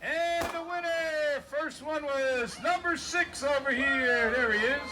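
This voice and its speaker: A man's voice: extremely high pitch, slow pace. A man working as an announcer, calling out a boardwalk game. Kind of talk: game barker commentary. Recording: distant, very noisy hissing outdoor recording.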